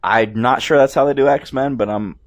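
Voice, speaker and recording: A man's voice: medium pitch, normal speed. A man giving casual, informal film commentary as host, talking like an enthusiastic fan.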